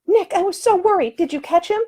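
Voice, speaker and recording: A woman's voice: very high voice, a little fast, warm tone, pitched above the performer's own voice. A woman voicing a brave, proper young lady in an audiobook story, with formal, articulate speech. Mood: anxious, earnest.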